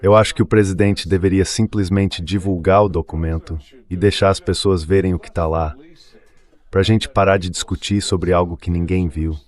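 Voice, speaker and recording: Low-pitched synthetic male commentator. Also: fairly even intonation, moderate pace, a little mellow. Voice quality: synthetic AI voice-over. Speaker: political commentator in a played clip. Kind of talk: dubbed translation of commentary.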